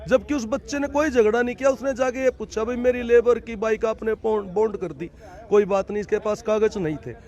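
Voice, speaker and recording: An indignant man speaks to a reporter at an outdoor protest, pressing his points with emphatic repetition. His voice is notably high for a man. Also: a little fast, darkish tone, muffled recording. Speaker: protest organizer. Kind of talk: impassioned protest speech to reporter.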